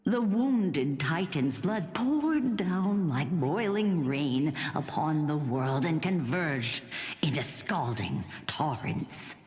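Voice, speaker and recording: Solemn grandmother storyteller, slow and echoing. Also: deep voice, animated, darkish tone. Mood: solemn, grave. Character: gentle dying grandmother telling a last solemn legend. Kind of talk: dramatic storytelling in a fantasy game. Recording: long reverb, music underneath. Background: music.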